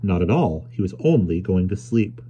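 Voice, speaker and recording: A man voicing a hearty, plain-spoken carrier who talks loudly and chuckles. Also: low voice, big pitch swings, moderate pace, a little hiss or hum.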